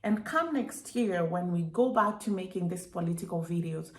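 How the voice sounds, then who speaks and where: A woman's voice: fairly low pitch, moderate pace. A woman giving political commentary to camera, rhetorical and emphatic, firing questions at the viewer. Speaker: political video creator. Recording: echoey room.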